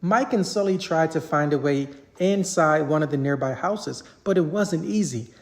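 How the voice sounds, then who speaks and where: A parent reading a children's story aloud in an animated storybook narration style. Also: mid-range voice, moderate pace.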